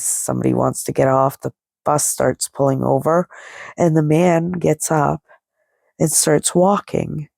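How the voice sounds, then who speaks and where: Slow, nasal, creaky-voiced woman storyteller. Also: low voice, a little mellow, no room sound. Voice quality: nasal, stuffy. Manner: rambling, conversational, quoting dialogue as she goes. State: congested with a head cold. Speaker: podcast host. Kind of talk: casual personal storytelling. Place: indoors, lying down, dry close sound.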